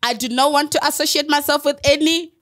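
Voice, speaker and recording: A woman, speaking bluntly and forcefully as an interviewee. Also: high voice, fairly even intonation, slight background noise. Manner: blunt, acts out dialogue in quick impressions.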